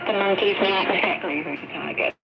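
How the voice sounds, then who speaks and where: A woman's voice: mid-range voice, brisk, gravelly, loud music behind the voice. A woman giving scripted documentary narration heard over a radio. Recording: muffled, very noisy radio reception.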